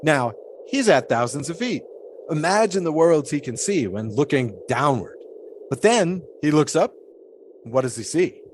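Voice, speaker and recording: A grandiose flagpole sitter. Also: male voice, on the high side, sing-song, unhurried, slight background noise. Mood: lecturing. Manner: grandiose, musing, theatrical. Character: philosophical 1920s male flagpole sitter. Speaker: flagpole sitter being interviewed. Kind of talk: period audio drama acting.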